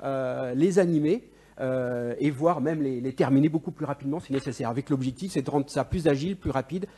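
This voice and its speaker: A man pitching his startup on stage, hesitant, with many fillers and restarts. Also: brisk, warm tone, breathy edge. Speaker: startup founder. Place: event stage.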